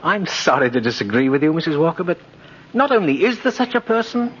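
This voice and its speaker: A man's voice: a little high, big pitch swings, brisk. An actor playing a kindly, courteous old man who insists he is Santa Claus, in a 1950s radio drama. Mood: genial, sincere. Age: older. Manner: jolly, chuckling, gently courteous. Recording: muffled noisy old radio recording.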